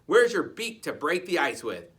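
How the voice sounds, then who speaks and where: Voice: medium pitch, very animated, a bit bright. Reader putting on a smug, quacking duck. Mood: mocking. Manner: taunting, with drawn-out quacks. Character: mocking female duck, smug and boastful. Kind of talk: animated children's storybook read-aloud.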